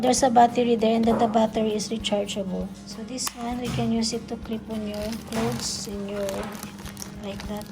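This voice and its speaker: A Filipino-accented woman, native in Tagalog, giving a casual product demonstration, talking through each step in short fragments. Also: a little high. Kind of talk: casual unboxing and testing demonstration.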